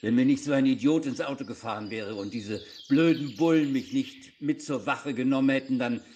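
A man's voice: medium pitch, normal speed, a little mellow, muffled recording, close to an everyday voice. An actor playing a shifty, irritable male schoolteacher in a radio play. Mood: apologetic, scheming. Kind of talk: hobby German radio play acting. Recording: faint noise with birdsong.

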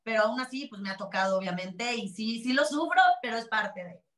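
Lively mexican-accented woman, catalan native, bit high voice, roomy echo on a video call. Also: a little fast. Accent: Mexican Spanish. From Spain. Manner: chatty, animated, many restarts. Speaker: interview guest, TV presenter. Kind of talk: casual interview chat. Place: small room, video call.

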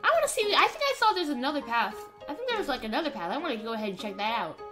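A woman's voice: high-pitched, very animated, racing, music in the background. A woman doing casual, playful roleplay commentary over a video game, rambling and stammering as she goes. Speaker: gaming YouTuber.

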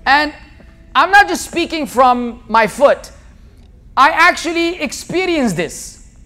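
Man, 30s, American-sounding accent, very high voice, animated lecture, tabletop mic in a hall. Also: slow. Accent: American-sounding English. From Lebanon. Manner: animated, gesturing with both hands, acts out quoted people. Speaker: Islamic lecturer. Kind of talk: public lecture. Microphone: small tabletop mic on a tripod.

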